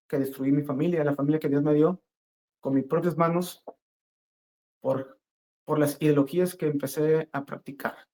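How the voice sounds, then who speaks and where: Middle-aged man, personal faith testimony, earnest spontaneous speech, halting delivery, emphatic repeated phrases. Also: a little high, a little mellow. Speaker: guest giving a religious testimony.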